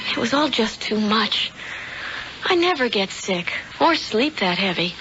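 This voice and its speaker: A woman's voice: a little high, very animated, measured pace, music in the background. A woman playing a vulnerable, earnest young woman in a 1970s radio drama. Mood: shaken. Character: vulnerable young woman, shaken but sharp-witted. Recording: muffled, noisy old radio broadcast.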